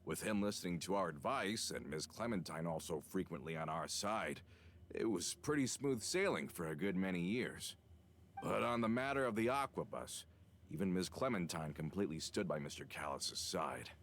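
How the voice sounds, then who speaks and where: A very deep, gruff male voice for a video game. He is a loyal older veteran who explains past troubles in earnest. Faint noise sits behind him. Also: lively intonation, moderate pace. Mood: earnest, wistful.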